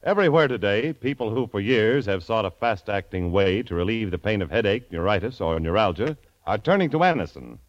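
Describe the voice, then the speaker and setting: Casting: male American old-time radio announcer, animated scripted ad read, dry but dull sound. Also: lowish voice, fairly quick, few pauses, no room sound. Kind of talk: mid-century scripted radio advertising. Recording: muffled old radio recording.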